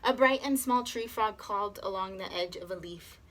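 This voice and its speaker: A woman's voice: mid-range voice, moderate pace, a bit bright. A woman reading a children's story aloud expressively, as a teacher does. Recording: clear background noise.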